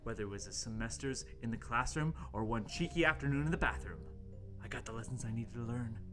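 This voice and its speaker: A man's voice: medium pitch, fast talker, fairly bright tone. Theatrical man narrating horror over music. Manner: theatrical, mock-ominous. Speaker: host and radio play narrator. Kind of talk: dramatic scripted horror narration.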